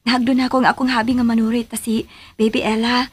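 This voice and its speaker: An actress with a Filipino accent playing a loving but uneasy young wife in a radio drama, musing hesitantly. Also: female voice, fairly high pitch, little pitch movement, fast, natural voice. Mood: evasive. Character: loving young wife, uneasy and secretly doubting her husband. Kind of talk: Philippine radio drama acting.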